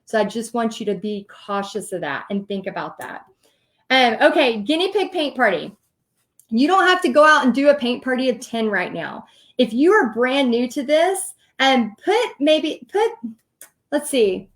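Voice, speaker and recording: Chatty Texan woman, high voice. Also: sing-song, normal speed. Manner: chatty, direct, laughs often, addresses viewers. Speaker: host teaching paint party business. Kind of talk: casual livestream coaching talk.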